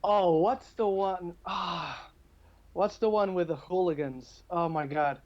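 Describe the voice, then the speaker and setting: A man from Portugal with a moderately high voice talks in a casual podcast style. He is chatty and thinks out loud as he goes. The sound is a little dull and lo-fi. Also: slow. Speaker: podcast speaker. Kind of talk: casual chat about video games.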